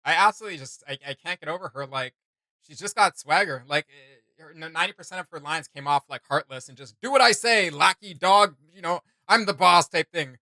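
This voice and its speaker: A young man talks very quickly in a sharp, forward voice. He rambles enthusiastically through a casual reaction, recorded dry in a home studio. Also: fairly high pitch, no echo, poor audio. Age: young adult. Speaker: reaction video host. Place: small home studio room.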